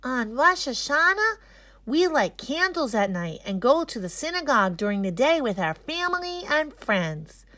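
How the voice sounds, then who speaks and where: A cheerful young schoolboy giving an eager show-and-tell in a storybook, voiced by a woman. The pitch is raised and very lively, and the words come slowly. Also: dry recording, exaggerated voice. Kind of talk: children's storybook read-aloud.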